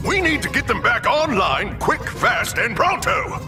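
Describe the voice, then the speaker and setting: A man's voice: extremely high pitch, sing-song, a little slow, exaggerated voice. A man voicing an urgent, blustering ship mechanic creature in a cartoon video game. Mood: urgent, exasperated. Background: music.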